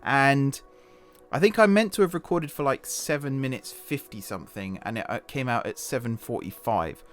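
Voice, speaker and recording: A man, slightly hoarse, narrating his own gameplay in a rambling, self-correcting, casual voice-over. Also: mid-range voice, expressive, moderate pace, a bit bright. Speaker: gaming channel host. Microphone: desktop voice-over mic.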